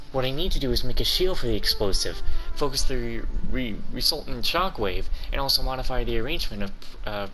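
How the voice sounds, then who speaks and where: A man voicing an earnest, self-denying, obsessive female researcher from a video game in a measured, matter-of-fact reading. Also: mid-range voice, bright, forceful tone, poor audio.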